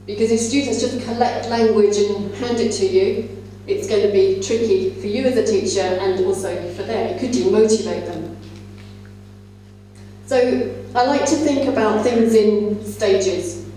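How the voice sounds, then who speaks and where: A woman's voice: mid-range voice, a little slow, dark tone. A woman speaking at a conference as a teacher trainer, explaining things to fellow teachers in a conversational way. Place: large indoor conference room. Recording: echoey hall, distant mic, faint hum.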